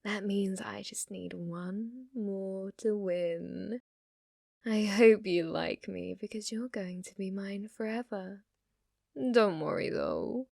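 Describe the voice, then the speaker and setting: A woman's voice: medium pitch, gravelly, darkish tone. A woman playing a playful, possessive, lovestruck witch in an ASMR roleplay, speaking to the listener in a teasing, cutesy way. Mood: smug, possessive. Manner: teasing, cutesy, swinging between coy murmurs and eager outbursts.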